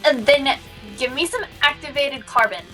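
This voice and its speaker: A woman with a high-pitched, bright voice co-hosts a casual let's-play. She is upbeat and expressive, reading out character dialogue between bits of chat. Faint guitar music sits behind her. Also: moderate pace, rough recording. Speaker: co-host of a gaming show. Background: soft guitar game music.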